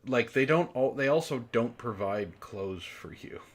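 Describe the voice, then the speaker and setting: A man with a husky voice reading a story dramatically and breaking in with sarcastic, exasperated commentary. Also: mid-range voice, expressive, measured pace. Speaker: book club reader and commentator.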